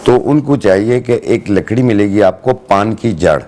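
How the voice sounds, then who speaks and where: Male hakeem, lower voice, patient instructive delivery on a morning show. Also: measured pace. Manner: calm, step-by-step instructive. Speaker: hakeem giving herbal advice. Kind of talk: studio chat, giving remedies. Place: TV studio.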